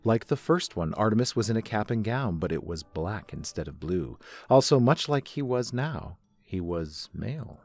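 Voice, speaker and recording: A man's voice: lowish voice, expressive, moderate pace, few pauses, darkish tone, no echo. A man narrating a scripted story as a reader, setting the scene between characters' dialogue. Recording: music under the voice.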